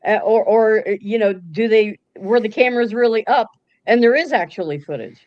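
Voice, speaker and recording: Woman w a higher voice, muffled dull sound, asks questions, stops and restarts. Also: normal speed, dry recording. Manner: questioning, hesitant and full of restarts. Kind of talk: casual livestream chat.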